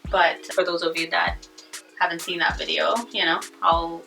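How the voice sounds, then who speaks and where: A woman's voice: on the low side, flat delivery, moderate pace, vocal fry, sharp tone. A young woman from Ethiopia hosting a casual chat, laughing often as she talks. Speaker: host. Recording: voice from a distance, faint music behind.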